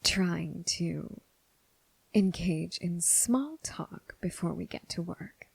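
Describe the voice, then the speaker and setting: A woman playing a seductive, menacing crossroads demon who toys with her prey, in a breathy, whispered ASMR roleplay with slow, teasing, formal phrasing. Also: lowish voice, no echo, exaggerated voice. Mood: bored, stern.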